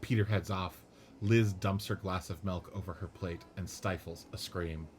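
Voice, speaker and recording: A man narrating the stage directions of a script reading in a steady, matter-of-fact way. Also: low-pitched, normal speed, noisy background.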